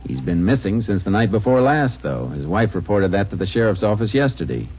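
An actor playing a steady, probing Texas Ranger in an old-time radio drama, speaking in a terse, matter-of-fact way. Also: male voice, lowish voice, fairly quick, slightly rough. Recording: muffled old radio recording with noise.

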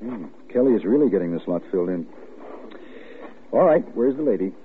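A man's voice: fairly low pitch, lively intonation, fairly quick, slight background noise, lightly acted. An actor playing an easygoing, indulgent but dismissive family father in a folksy, paternal way, in vintage radio style. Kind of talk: 1940s radio acting. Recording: muffled, tinny old radio recording.

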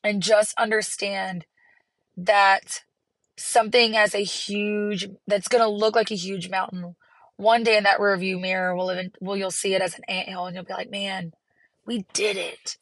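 A hoarse woman, hosting her podcast from a parked car in an earnest, encouraging way. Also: mid-range voice, flat delivery, normal speed, fairly bright tone, no echo, low-quality recording. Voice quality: raspy. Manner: earnest, encouraging, rambling with restarts. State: hoarse from laryngitis. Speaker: podcast host. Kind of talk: casual solo podcast talk.

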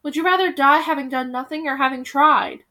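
An earnest young prince urges a crowd to join him, as a woman reads the part. His plea comes out fairly high and straightforward. It belongs to a storybook read aloud. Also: moderate pace, fairly bright tone. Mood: urgent. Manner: earnest, pleading.

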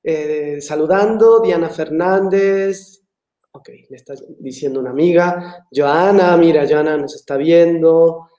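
A man's voice: on the high side, unhurried, warm tone, muffled recording. A man hosting a casual live-stream talk, friendly and reading out viewer greetings. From Latin America, living in Mexico. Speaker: host of a live online talk. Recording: echoey room.

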